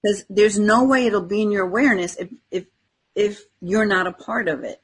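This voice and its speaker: A woman co-hosting a casual, conversational spiritual discussion podcast, with a slightly husky voice. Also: mid-range voice, moderate pace. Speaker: podcast co-host.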